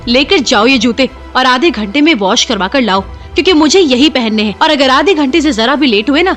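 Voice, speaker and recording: A wealthy young wife who scorns her husband. The voice is high and curt, and she speaks in orders. Soft music runs underneath. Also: expressive, brisk. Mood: demanding. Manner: curt, commanding. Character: rich, cold young wife, demanding.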